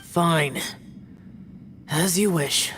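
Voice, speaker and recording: A man's voice: a little high, drawn-out words, a bit bright, soft background music. An actor playing a weary, self-reliant young male warrior in a video game, terse, reserved and subdued. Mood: weary, reluctant.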